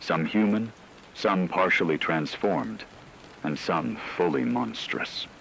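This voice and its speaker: A man playing a grave radio announcer, solemnly reading scripted horror narration in a measured, ominous way. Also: sits low, animated, vocal fry, fairly bright tone, a little hiss or hum, full character voice, low-quality recording. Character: grave male radio announcer reporting a werewolf apocalypse. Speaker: radio emergency broadcast announcer.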